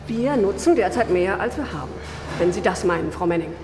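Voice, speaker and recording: An actress playing an embattled town mayor in a modern radio drama, firm and pressing. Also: female voice, fairly low pitch, expressive, fairly quick, long reverb tail, music in the background, natural voice. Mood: firm, concerned. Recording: clear background noise.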